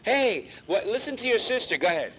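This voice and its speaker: A man's voice: sits high, very animated, a little fast, a bit bright, low-quality recording. Joking Boston man hosting live TV. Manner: joking, teasing guests. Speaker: TV talk show host. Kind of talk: live call-in show banter. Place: TV studio with audience.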